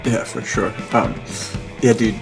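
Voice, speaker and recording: A man chatting informally as a podcast co-host, thinking aloud in a husky, gravelly voice. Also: fairly low pitch, fairly even intonation, unhurried, music in the background. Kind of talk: casual chat.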